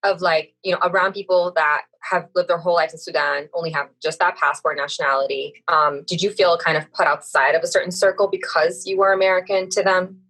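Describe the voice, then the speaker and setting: A woman co-hosting a podcast, gently asking a guest questions in casual conversation. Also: fairly low pitch, fairly even intonation, fairly quick, few pauses. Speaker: podcast co-host.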